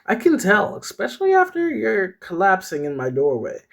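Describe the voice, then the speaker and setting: Reader as frightened, manic-edged horror protagonist, raised pitch, pleading delivery. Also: wildly swooping pitch, moderate pace, creaky. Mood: blunt, weak. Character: Peyton, frightened, haunted, increasingly manic horror-story protagonist.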